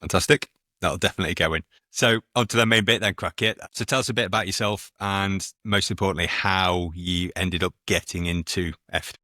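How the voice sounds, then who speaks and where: A man co-hosting a podcast, talking in a measured, even way. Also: deep voice, no room sound, clean studio sound. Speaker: podcast co-host. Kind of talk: casual podcast chat.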